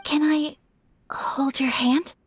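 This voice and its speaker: A woman voicing a refined, gentle young noblewoman in a video game, speaking with formal courtesy and shy affection. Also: a little high, animated, moderate pace, slightly rough. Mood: shy, earnest. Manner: formal, polite, softly hesitant phrasing. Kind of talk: scripted video game character voice acting.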